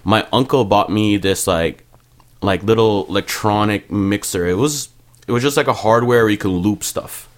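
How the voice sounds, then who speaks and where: A man in his thirties with a notably low, deep voice chats loosely as a guest on an interview show. He grew up in Canada with Punjabi as his first language, and he rambles, fills his sentences with "like" and laughs easily. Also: normal speed. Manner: relaxed and rambling, heavy use of "like", laughs often. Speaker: music producer, guest. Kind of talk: casual podcast chat.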